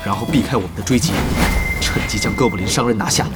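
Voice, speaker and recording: An actor playing an earnest, analytical guild leader from an online game in an audio drama. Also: male voice, fairly low pitch, fast talker, lightly acted. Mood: urgent, analytical. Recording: loud music behind the voice.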